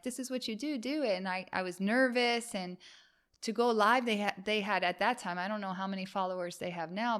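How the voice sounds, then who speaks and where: A woman's voice: medium pitch, pushed and bright, clean tone. A woman from the United States, a yoga and fitness instructor, chatting casually in an interview, full of fillers and restarts. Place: home, Miami.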